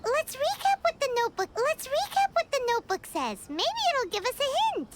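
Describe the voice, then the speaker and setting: A woman voicing a tiny, childlike, excitable female fairy companion in a video game, squeaky and curious. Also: fairly quick, noisy background, exaggerated voice. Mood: eager, curious. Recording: game music behind the voice.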